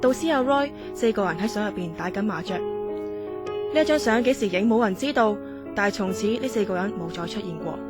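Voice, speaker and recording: Female horror narrator over loud music. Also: mid-range voice, expressive, brisk. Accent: Hong Kong Cantonese. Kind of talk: scripted first-person horror drama narration.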